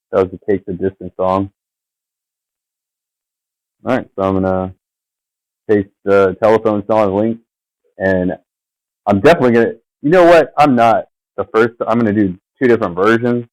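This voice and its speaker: A man's voice: fairly low pitch, steady tone, normal speed, pauses often, a little mellow, no echo, muffled recording. A man rambling casually at his computer, drifting off and filling gaps with fillers as he talks over his own video. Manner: meandering, trails off, many fillers. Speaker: vlogger.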